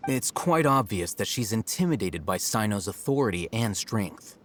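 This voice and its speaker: An actor playing a composed, self-assured scholar in a video game, blunt and didactic in a measured, matter-of-fact way. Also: male voice, on the low side, expressive, a little hiss or hum, close to an everyday voice. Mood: analytical. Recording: music playing under the voice.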